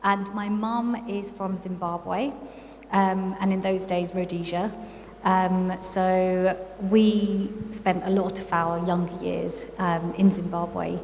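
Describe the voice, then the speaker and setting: A woman's voice: on the low side, flat delivery, takes it slowly. Chatty woman in an echoing hall. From East London, England. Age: 30s. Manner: warm, chatty, frequent fillers. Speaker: guest speaker, psychologist. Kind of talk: personal talk at a community event. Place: church hall. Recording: reverberant hall, faint background noise.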